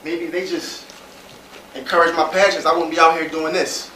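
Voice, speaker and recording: Actor as frustrated young American hustler, high-pitched, distant. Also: male voice, little pitch movement, normal speed, bright, forceful tone. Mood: frustrated, defiant. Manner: pleading, insistent, slangy. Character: frustrated, determined young man hustling for his pregnant partner. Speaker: stage actor. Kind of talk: stage play acting. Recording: roomy distant stage recording with hiss.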